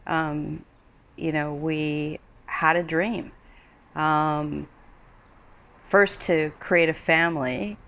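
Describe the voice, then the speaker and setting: A woman's voice: deep voice, fairly even intonation, very slow, long pauses, a bit bright. A Swiss-born woman in her fifties, an actress answering interview questions in a thoughtful way, often searching for words. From Switzerland. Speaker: actress and acting studio co-founder.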